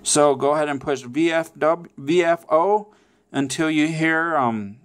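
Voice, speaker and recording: A man with a fairly high, clean and smooth voice walks through instructions step by step. His delivery is casual and informal, and he keeps pausing to check in as he teaches. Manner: repeatedly checks in with "okay" and "all right". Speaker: tutorial presenter.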